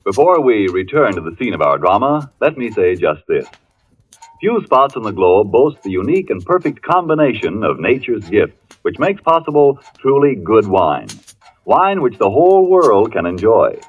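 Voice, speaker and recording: Vintage commercial announcer: American man, persuasive, animated intonation, slightly husky, dull archival sound. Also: lowish voice, normal speed. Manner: polished, persuasive. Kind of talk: 1940s radio sponsor announcement. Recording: dull old radio recording.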